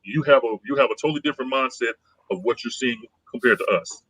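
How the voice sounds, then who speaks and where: A man with a husky, slightly breathy voice talks through his view in a casual podcast chat. He sounds calm and conciliatory, circling back and repeating phrases. The sound is dry and close, with no room echo. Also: mid-range voice, fast. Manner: conciliatory, rambling, repeats himself.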